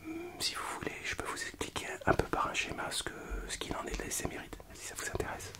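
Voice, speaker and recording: A man playing a fussy, pedantic doctor in an ASMR roleplay, explaining things in a hushed voice. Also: fast, lightly acted. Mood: hushed, explanatory.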